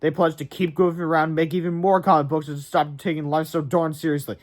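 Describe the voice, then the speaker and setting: A man's voice: fairly high pitch, little pitch movement, fast talker. A man narrating a storybook aloud in a dramatic way, with casual asides.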